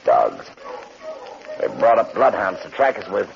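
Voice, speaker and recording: A dangerous escaped convict in a 1940s radio thriller, on a dull old recording. His gravelly voice swings widely as he wraps threats in false friendliness. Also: lowish voice, big pitch swings, a little slow, slight background noise, full character voice. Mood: tense, scheming. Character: smooth-talking, menacing escaped convict, a wayward brother.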